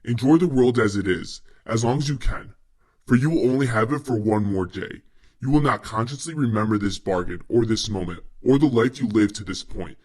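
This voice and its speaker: A man voicing a gloating, menacing demon who bargains slowly and theatrically in a comic book story. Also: on the low side, darkish tone, lightly acted. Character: sinister male demon, gloating, smooth-talking bargainer.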